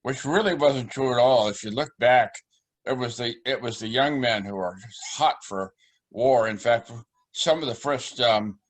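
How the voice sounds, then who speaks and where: Man, medium pitch, discussion participant, unscripted commentary. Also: a little slow, rough recording.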